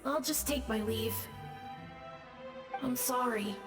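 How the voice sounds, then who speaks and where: A woman voicing a gentle, sorrowful young ghost in a video game, tearful while speaking. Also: on the high side, slow, in a large hall. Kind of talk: video game character acting. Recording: game dialogue under music.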